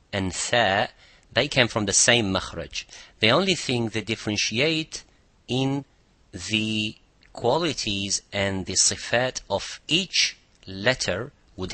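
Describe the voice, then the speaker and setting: Guy hosting a pronunciation lesson, lowish bright voice, really dry sound, explains stuff deliberately. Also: no room sound. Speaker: podcast host and Quran recitation teacher.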